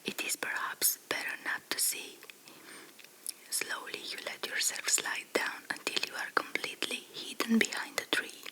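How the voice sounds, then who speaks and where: A woman whispering a second-person fairy tale slowly and softly, in the style of ASMR storytelling. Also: poor audio. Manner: soft, slow, hushed whisper.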